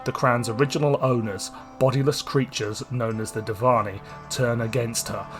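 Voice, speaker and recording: A man hosting a podcast, moving between scripted narration and casual chat. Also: medium pitch. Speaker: podcast host.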